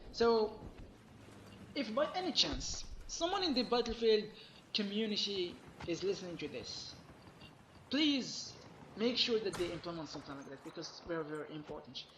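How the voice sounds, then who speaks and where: A man giving an emphatic, complaining gaming commentary while losing his voice, sounding hoarse. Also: sits high, very animated, normal speed, fairly bright tone, reverberant, slight background noise, low-quality recording. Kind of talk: solo gaming rant.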